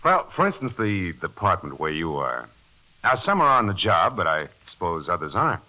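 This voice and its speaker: An actor with an American accent playing a smug, bossy young factory boss, blustering and condescending in 1940s radio style. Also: male voice, deep voice, sing-song, moderate pace, sharp tone, exaggerated voice, rough recording.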